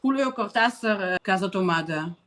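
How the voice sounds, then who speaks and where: A middle-aged woman composer whose first language is Russian, talking in an interview in English with many fillers and pauses while she searches for words. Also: medium pitch, lively intonation, fairly bright tone. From Azerbaijan. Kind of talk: conversational interview.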